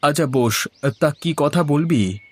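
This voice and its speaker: A man's voice: on the high side, animated, slow pace, slightly rough, exaggerated voice. A man voicing a middle-aged village father in a moral story cartoon, anxious to get his daughter married. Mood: curious.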